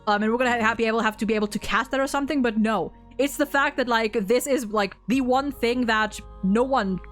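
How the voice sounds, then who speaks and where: A woman in a casual, excited fan discussion, effusive and slangy, rambling with lots of repeated "likes". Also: fairly high pitch, fairly quick, faint music behind. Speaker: video host discussing a game.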